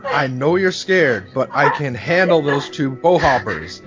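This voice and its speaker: A man's voice: medium pitch, very animated, a little slow, loud music behind the voice. Man playing a folksy, urgent truck driver, dull recording. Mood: frantic, pressing. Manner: folksy, slangy, commanding. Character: tough, urgent truck driver taking charge under siege. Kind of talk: horror audio drama acting.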